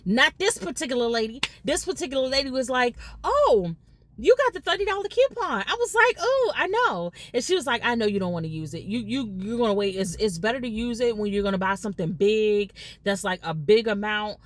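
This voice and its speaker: An American woman in her late thirties chatting casually to viewers as a vlogger, telling stories in an animated way and re-enacting conversations. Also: fairly high pitch, brisk. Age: late 30s. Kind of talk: casual storytelling chat to viewers.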